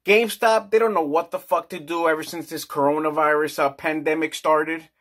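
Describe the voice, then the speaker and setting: A man's voice: on the high side, fairly even intonation, a little fast, fairly bright tone. A man in his forties giving a casual, crude and rambling rant in a small indoor office. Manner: brash, crude, slangy, rambling. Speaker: vlogger.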